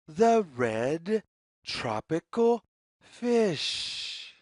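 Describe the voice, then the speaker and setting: A woman reading a picture book aloud for young children, with deliberate, singsong phrasing and questions put to the listener. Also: fairly low pitch, no room sound, clean studio sound. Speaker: children's read-along storyteller.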